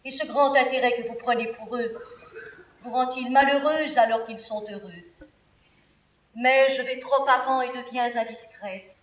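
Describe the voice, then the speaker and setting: An actress playing a devoted, respectful confidante to a princess, declaiming classical French verse tragedy in an earnest, theatrical way. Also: female voice, a little high, steady tone, measured pace, natural voice. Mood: concerned, probing. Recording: roomy, distant theatrical studio recording.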